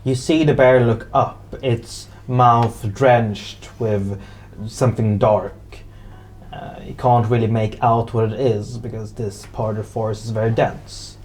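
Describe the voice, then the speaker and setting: A man's voice: medium pitch, fairly even intonation, measured pace, a little mellow. A man improvising narration as the game master of a tabletop role-playing game.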